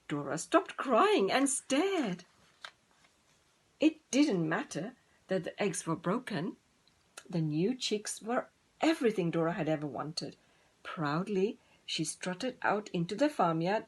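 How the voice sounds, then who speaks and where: Slow, expressive woman reading a storybook. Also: mid-range voice, breathy edge. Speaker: storybook reader. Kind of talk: expressive children's story narration.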